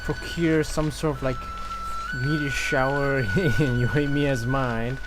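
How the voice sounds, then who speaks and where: A man doing casual live commentary as a game streamer, hesitant and searching for words, with loud music behind him. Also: mid-range voice, steady tone, slow pace.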